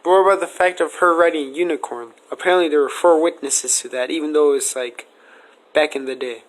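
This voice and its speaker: A man's voice: a little high, fairly even intonation, fairly quick, poor audio. A man asking questions in a casual, conversational interview style. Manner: informal, conversational.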